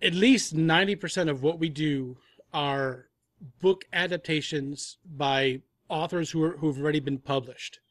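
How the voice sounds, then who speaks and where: A man's voice: a little high, a little slow, dry recording, clean studio sound. A man talking casually as a guest on a podcast, in the role of an audio drama producer.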